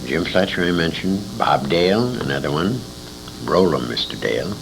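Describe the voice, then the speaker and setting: A man's voice: lively intonation, moderate pace, vocal fry. An older American man being interviewed, reminiscing in a rambling way as he searches his memory for names. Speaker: interviewee, former radio actor. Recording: noisy amateur tape with low rumble.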